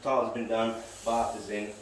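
Low-voiced man in an echoey room. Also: little pitch movement, brisk, slight background noise. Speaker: tradesman presenting a renovation video. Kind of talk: casual how-to commentary while working. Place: small tiled bathroom under renovation, indoors. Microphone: camera a few steps away. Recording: roomy echo, distant camera mic, music.

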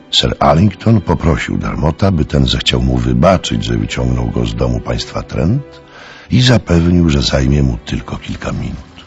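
A man narrating a scripted radio drama in a gravelly storyteller's voice. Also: a bass voice, exaggerated voice. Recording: dull, muffled recording. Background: music.

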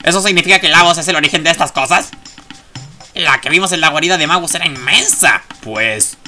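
A man's voice: a little high, brisk, bright, forceful tone, faint music behind. A man hosting a gaming video with casual let's play commentary, full of chatty, jokey asides.

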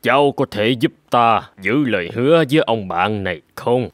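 A man voicing a kindly, portly older gentleman in a cartoon, speaking in a gentle, measured, encouraging way. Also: mid-range voice, expressive, no echo, studio quality, lightly acted. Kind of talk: cartoon voice acting.

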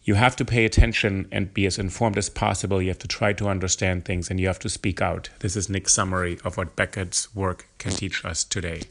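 A man's voice: low-pitched, level tone, fairly quick, creaky, hardly any pauses, a bit bright. A man hosting an interview podcast, introducing it and putting questions to his guest. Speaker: podcast host.

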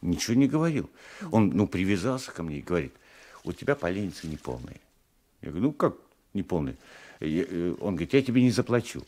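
A meek but stubborn old peasant in a radio play. His voice sits fairly low and swings widely as he stammers and justifies himself like an aggrieved husband. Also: very animated, quick pace, a little mellow, full character voice. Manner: hesitant, stammering, self-justifying. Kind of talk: 1980s Soviet radio drama acting.

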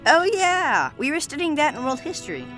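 A woman's voice: sits high, wildly swooping pitch, brisk, fairly bright tone, soft background music, heavily acted. A woman acting an eager, studious teenage schoolgirl in a family audio drama. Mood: eager, knowing.

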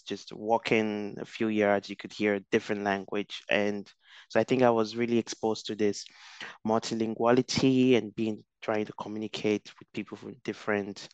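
A young man from Nigeria talks in a low, smooth voice, thinking aloud with restarts and fillers. He speaks into a headset mic, and the sound is dry and close. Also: flat delivery, moderate pace, no room sound, highs cut off. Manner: thoughtful, with frequent restarts and fillers. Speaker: guest researcher. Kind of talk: casual interview over video call.

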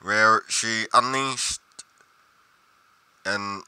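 A man acting a pedantic journal-writing investigator, very bright forceful voice, slow delivery, theatrical, pompous, game roleplay. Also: fairly low pitch, fairly even intonation, smooth, no echo, full character voice. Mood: grave, dramatic. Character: pedantic, musing male paranormal investigator narrating his journal.

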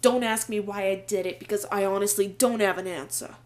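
A woman's voice: mid-range voice, fairly even intonation, brisk, close to an everyday voice. A young woman voicing an earnest young girl who disguises herself as a newsboy.

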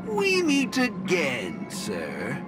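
An actor voicing a cryptic monkey robot guide in a video game, speaking in a courteous, drawn-out, theatrical way. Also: mid-range voice, wildly swooping pitch, loud music behind the voice, heavily acted. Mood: cryptic, solemn.

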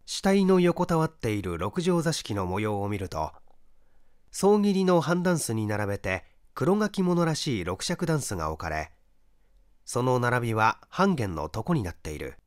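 A man reading a mystery story aloud as dramatic narration, measured and expressive with deliberate pauses. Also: mid-range voice, smooth, dry recording, clean studio sound. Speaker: story reader, stage actor.

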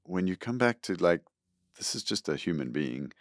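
A middle-aged man, a school superintendent, giving earnest, measured interview answers into a close studio boom mic. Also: sing-song, no echo. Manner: earnest, measured, repeats words when starting. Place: podcast studio room.

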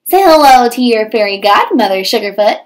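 A woman voicing a feisty little old Western fairy godmother in a storybook, brisk and bossy with folksy cowboy slang. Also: fairly high pitch, animated, natural voice. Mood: brash, sassy.

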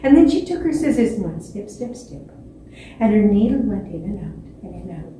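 A woman in her sixties telling a children's story expressively, with dramatic pauses and repetition. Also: medium pitch, sing-song, warm tone. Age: 60s. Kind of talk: animated children's storytelling from memory. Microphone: distant camera microphone. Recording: echoey stage, distant mic, faint noise.

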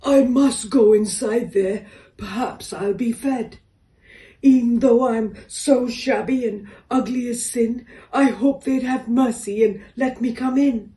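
A woman's voice: fairly high pitch, takes it slowly, dark tone. A woman voicing a lonely male talking door who is earnest and pleading. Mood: weary. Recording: echoey room, distant mic.

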